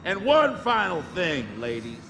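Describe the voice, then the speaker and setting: An actor playing a gruff, contemptuous military drill instructor in a video game, barking insults and orders at recruits. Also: male voice, high-pitched, wildly swooping pitch, measured pace, heavy background noise, heavily acted. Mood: stern, threatening.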